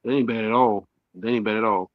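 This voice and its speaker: A man's voice: lowish voice, steady tone, fast talker, no echo, dull audio. A man in his thirties chatting casually as a podcast co-host, rambling with many fillers and restarts. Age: 30s. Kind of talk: casual chat.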